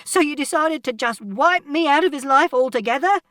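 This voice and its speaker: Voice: mid-range voice, sing-song, measured pace, a bit bright, dry recording, studio quality, distant mic, pitched above the performer's own voice. A narrator voicing a distraught, protective mother in an audiobook. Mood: furious. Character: distraught, protective mother, tearful then furious. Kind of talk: audiobook character acting.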